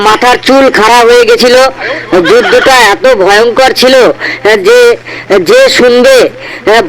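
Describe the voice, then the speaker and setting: A man's voice: very high voice, a bit bright, smooth, poor audio. A man voicing a cheeky cartoon schoolboy who gives pleading, mock-earnest answers full of silly excuses. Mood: stalling. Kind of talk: comedy cartoon dialogue.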